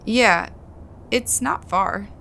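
A woman's voice: medium pitch, very slow, vocal fry, bright, forceful tone, heavily acted. An actress playing a practical, slightly guarded woman in a naturalistic audio drama, speaking in a matter-of-fact, conversational way.

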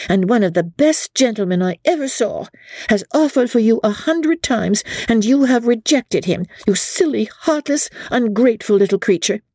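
A woman's voice: on the high side, animated, moderate pace, darkish tone, no echo, studio quality, voice pushed up. Woman playing a scornful scheming young woman. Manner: contemptuous, scolding, mocking.

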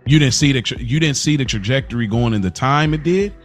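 A man's voice: medium pitch, fairly quick. A man co-hosting a podcast in casual, slangy chat, repeating words emphatically to make his point. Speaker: podcast co-host. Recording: music playing behind the voice. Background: background music.

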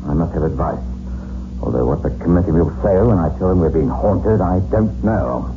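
A man playing a grave, commanding Cold War spymaster in a 1960s radio suspense drama, with a gravelly voice and a deliberate, authoritative delivery. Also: deep voice, expressive. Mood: weary, measured. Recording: muffled vintage radio recording, loud music.

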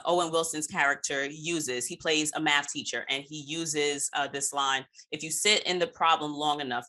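A woman's voice: deep voice, bright, forceful tone. A woman hosting a podcast in casual chat, speaking informally and emphatically and swearing freely. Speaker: podcast host.

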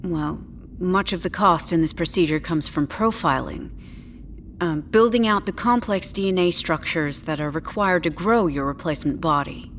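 A futuristic clinic doctor, played by an actress in an audio drama, with a fairly low female voice. She is measured and professional, hesitating politely, with tactful condescension. Faint ambient noise runs behind her. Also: a bit bright, natural voice. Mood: careful, tactful.

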